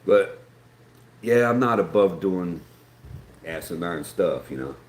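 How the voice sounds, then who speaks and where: A man's voice: low voice, animated, takes it slowly. Folksy Appalachian man, echoey room. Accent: Appalachian American. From West Virginia, USA. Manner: rambling, folksy, reads chat aloud. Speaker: live stream host. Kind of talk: casual live stream chat. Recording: echoey room with faint background noise.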